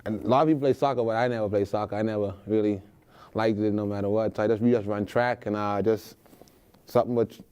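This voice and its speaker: Quick, mellow young Bahamian man. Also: lowish voice, fairly even intonation, clean tone. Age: young adult. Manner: laid-back, slangy, lots of uh fillers. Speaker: college basketball player guest. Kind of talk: casual interview answers.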